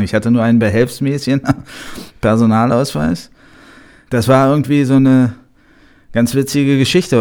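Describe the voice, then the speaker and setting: A man in his fifties from West Berlin, telling his own life stories in a relaxed way with wry humor. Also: fairly low pitch. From West Berlin, Germany. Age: 50s. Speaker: interviewee. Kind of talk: personal reminiscence.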